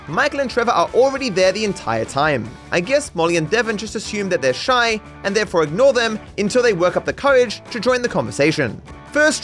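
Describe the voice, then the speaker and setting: A man's voice: high voice, a bit bright. A man reading scripted comedic commentary on a video game in a dry, mock-serious, sarcastic way. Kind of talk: scripted comedic narration over game footage. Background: music under the voice.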